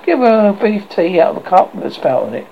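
A man's voice: high voice, big pitch swings, brisk, gravelly, slight background noise, voice pushed up, exaggerated voice. A man voicing a boy pretending to be a fussy, mock-solemn doctor, on a dull, muffled recording.

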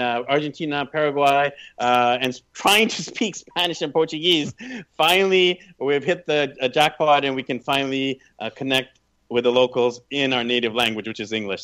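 A man's voice: fairly high pitch, fairly bright tone. A Canadian man in his thirties telling personal stories as a podcast guest, chatty and emphatic in conversation. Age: 30s. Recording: slightly dull, lo-fi audio.

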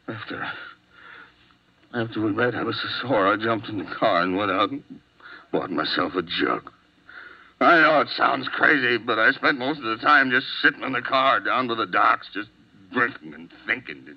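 A dull, narrow vintage radio recording carries a low male voice. a jealous husband on the run, desperate and short-fused, swinging between menace and broken murmurs in 1950s radio drama style. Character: hot-tempered desperate jealous husband, hunted murder suspect.

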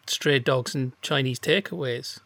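A man's voice: mid-range voice, unhurried, creaky, bright, forceful tone, dry recording, studio quality. Playful man telling anecdotes. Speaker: podcast co-host. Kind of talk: casual chat.

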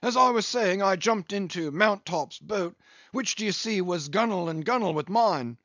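A man voicing a cheerful one-armed English sea captain who spins a lively yarn. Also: sits high, normal speed, dry recording, dull audio, pitched above the performer's own voice, heavily acted. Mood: hearty. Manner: hearty, lively yarn-spinning.

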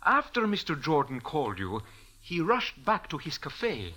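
A count's insinuating aide in an old radio play. He speaks with stiff courtesy and indignation, in a very bright, animated voice. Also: on the high side, unhurried, full character voice. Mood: indignant. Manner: formal, oily, indignant. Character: smooth, insinuating, formally polite Italian count's aide. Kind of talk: 1940s radio drama acting.

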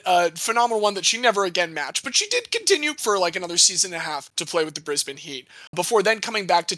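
A young man hosting a casual sports podcast, animated and jokey, swearing now and then. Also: high-pitched, dry recording. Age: young adult. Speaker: podcast host.

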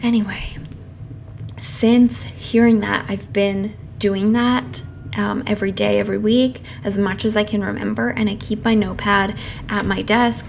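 Creaky, slightly hoarse woman podcasting. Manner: chatty, informal, apologetic asides to listeners. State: losing her voice. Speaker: podcast host. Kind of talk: casual solo podcast talk to listeners. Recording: clear background noise, passing truck rumble. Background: noisy truck outside.

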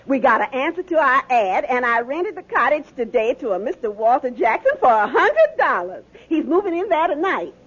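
An excitable radio-comedy wife, high-voiced and hugely animated. She exclaims and frets in folksy dialect, on a slightly dull vintage recording. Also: normal speed, few pauses, husky, full character voice. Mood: pleased. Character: excitable wife, pleased then fretful. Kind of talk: 1950s radio sitcom acting.

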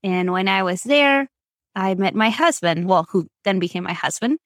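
A woman's voice: medium pitch, lively intonation, normal speed, no echo, studio quality. A woman with an Argentine Spanish accent, chatting as a podcast guest in a rambling, filler-filled way. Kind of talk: casual storytelling interview.

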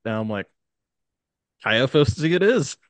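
A man's voice: mid-range voice, very animated, normal speed, no room sound. A man in his late twenties, a guest on a podcast, talking casually in a laid-back way. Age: 20s.

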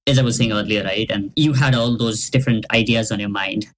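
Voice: steady tone, a little fast, a bit bright, low-quality recording. A podcast host asking long, winding interview questions padded with "uh" and "you know". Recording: faint hiss and low rumble.

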